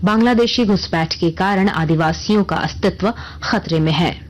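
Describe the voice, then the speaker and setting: A woman presenting a scripted radio news bulletin in a formal, measured manner. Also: lowish voice.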